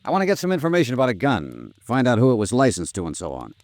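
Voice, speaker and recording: An actor playing a wry, hard-boiled male insurance investigator, telling his case crisply and dryly in 1950s radio noir style. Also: mid-range voice, huge pitch swings, a little mellow, no echo. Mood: inquiring. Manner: crisp, dry, deliberate storytelling.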